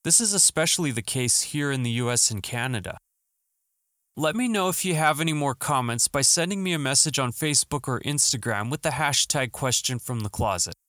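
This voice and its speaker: A man's voice: medium pitch, lively intonation, brisk, a bit bright, no echo. A man hosting a podcast from his home studio, talking in an upbeat, earnest tone while his throat is still scratchy from the flu. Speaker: podcast host. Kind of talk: solo podcast monologue.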